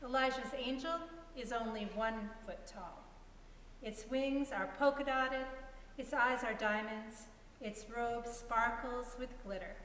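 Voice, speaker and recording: High-voiced woman narrating in an echoey hall. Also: steady tone, takes it slowly, fairly bright tone. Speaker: reader in a dramatic reading. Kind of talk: scripted story narration. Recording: echoey hall, distant mic, faint hiss.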